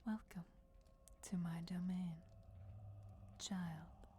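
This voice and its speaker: Woman acting a whispering vampire goddess, regal, patient, very slow and deliberate, fantasy ASMR roleplay, faint ambient noise behind. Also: lowish voice, fairly even intonation. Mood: solemn, patient. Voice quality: soft, breathy whisper. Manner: slow, deliberate, formal, measured. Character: ancient vampire deity in feminine form, regal and patient. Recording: clear background noise, crypt ambience. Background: crypt ambience.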